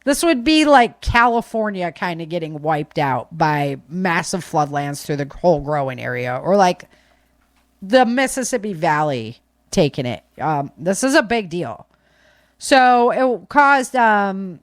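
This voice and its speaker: A woman's voice: medium pitch, dry recording, studio quality. A woman podcast host casually reading through disaster news, with informal, chatty asides to listeners.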